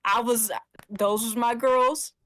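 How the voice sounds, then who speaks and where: A woman's voice: on the high side, fairly even intonation, takes it slowly, a bit bright, no room sound. Casual woman podcast panelist, close dry sound. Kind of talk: casual chat about a TV show.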